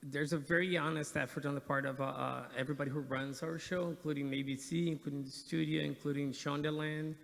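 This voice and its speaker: A man's voice: fairly high pitch, steady tone, brisk, creaky, hardly any pauses, fairly bright tone. A man from Brazil, a television writer, giving earnest answers on a panel in an echoey hall. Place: large indoor conference hall.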